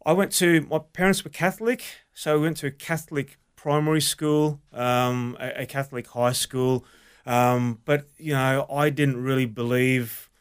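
A man's voice: mid-range voice, steady tone, smooth. An Australian man, an associate pastor, giving an earnest personal testimony in an interview, often restarting his sentences. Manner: earnest, halting, many restarts.

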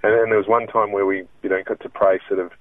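A man's voice: on the low side, little pitch movement, dry recording. An Australian man from Melbourne, a comedian and impressionist, speaking earnestly in a casual interview, often restarting his sentences. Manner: earnest, halting, many restarts. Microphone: phone. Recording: tinny dry phone line.

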